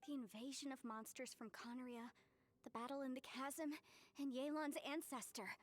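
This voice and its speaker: A woman's voice: high voice, steady tone, moderate pace. A woman voicing a polite, inquisitive young legal advisor in a fantasy game, articulate and courteous as she reasons aloud. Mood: thoughtful, explanatory. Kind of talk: scripted fantasy game acting.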